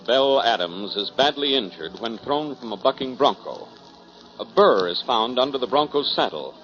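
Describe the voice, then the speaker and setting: A man with an American accent narrating a drama as announcer, in scripted 1940s radio style. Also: mid-range voice. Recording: dull 1940s radio recording. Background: music.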